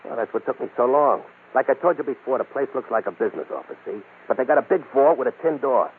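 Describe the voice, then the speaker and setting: Casting: male actor for a jittery petty crook, quick and slangy, heard on a crackly old radio broadcast. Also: medium pitch, narrow, tinny audio, lightly acted. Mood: eager, slangy. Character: nervous, wiry small-time crook, gang front man. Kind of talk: old-time radio crime drama acting.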